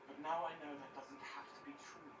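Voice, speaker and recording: A gentle, earnest young spirit from a video game, played by a man, speaks in plaintive, halting fragments. The voice is slightly high and sounds distant in an echoing space, with heavy noise behind it. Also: steady tone, lightly acted. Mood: hopeful wonder. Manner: hesitant, plaintive, speaks in short poetic fragments. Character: gentle young male spirit becoming human, earnest and wistful. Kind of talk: video game character acting. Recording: roomy, distant game capture, loud background noise.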